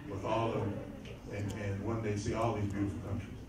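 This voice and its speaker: Genial low-voiced board member. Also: male voice, flat delivery, a little fast. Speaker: chamber of commerce board member. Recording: very echoey room, loud noise, distant mic.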